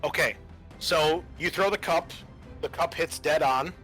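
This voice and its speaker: A man's voice: fairly high pitch, measured pace, fairly bright tone. A man narrating a tabletop roleplaying game as game master, explaining off the cuff with some hesitation. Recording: faint music behind the voice.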